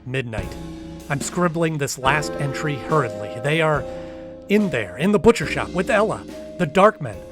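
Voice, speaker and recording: A man's voice: fairly high pitch, big pitch swings, moderate pace, music in the background. A man playing an anxious, sensitive young man in a radio drama, speaking in an earnest, faltering, dramatic way. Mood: urgent, grim. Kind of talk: old-time radio drama acting with diary narration.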